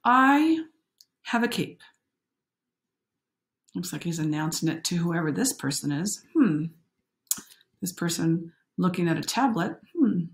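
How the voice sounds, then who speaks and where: A woman's voice: fairly low pitch, moderate pace, a little mellow. A middle-aged woman doing a picture-book read-aloud with chatty commentary, interjecting and putting questions to young listeners. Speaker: coach reading a picture book aloud to children.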